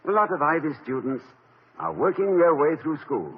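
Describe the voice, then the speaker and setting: Fussy professor type, lots of uh's, voice bounces around, old muffled radio sound. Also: male voice, fairly high pitch, big pitch swings, normal speed, husky. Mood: wry. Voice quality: hesitant, stammering, professorial. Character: genteel, pedantic middle-aged male college president. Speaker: college president. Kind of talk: 1950s radio comedy acting. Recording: dull lo-fi 1950 radio broadcast.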